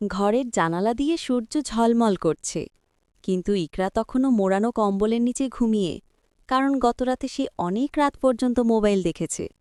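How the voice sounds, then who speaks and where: A woman's voice: on the high side, normal speed, pauses often, a little mellow. A woman narrating a scripted children's cartoon story.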